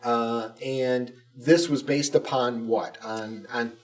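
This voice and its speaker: A man's voice: mid-range voice, steady tone, slow, far from the mic. A man co-hosting a conversational interview show and putting questions to guests. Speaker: podcast co-host, school communications director.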